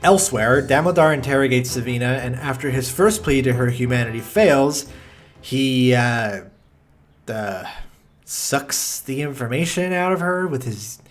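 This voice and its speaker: A man's voice: mid-range voice, animated, a little slow, soft background music. Wry young man mocking a film. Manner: wry, mocking asides with swearing. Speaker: film reviewer. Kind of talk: sarcastic movie review commentary.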